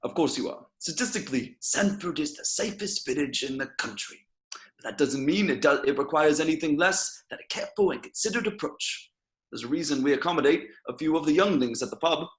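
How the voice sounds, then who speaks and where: A man playing a jovial, fatherly senior village police chief in a table read, gently admonishing in a measured manner. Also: a little high, close to an everyday voice. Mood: measured, persuasive. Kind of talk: amateur screenplay table read. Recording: roomy home microphone sound.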